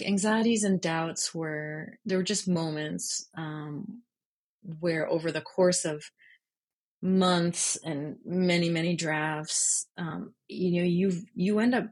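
Low-voiced woman, slightly husky. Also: darkish tone. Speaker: interview guest, freelance journalist and writer. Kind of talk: casual reflective interview chat.

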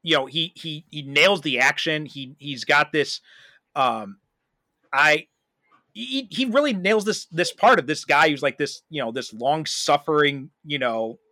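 A man with a slightly high, bright and forceful voice hosts a casual film podcast. He talks in an emphatic, halting way, circling back on his phrases. The recording is very dry and close. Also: dry recording. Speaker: podcast host.

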